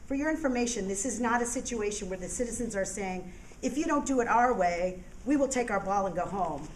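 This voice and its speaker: An American woman addresses a meeting as a resident, with a mid-pitched voice and an emphatic, indignant delivery. She sounds like she is reading out a prepared speech. There is some faint room noise around her. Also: lively intonation, fairly quick. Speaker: resident giving public comment at a board meeting. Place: indoor public meeting room.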